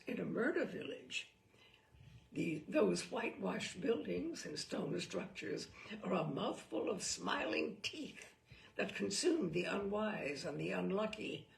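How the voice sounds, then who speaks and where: A woman reading a humorous book aloud as a storyteller, in a deliberate, theatrical and wry manner. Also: mid-range voice, creaky. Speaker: reader of humorous book selections. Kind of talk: dramatic storytelling read-aloud. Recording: distant microphone.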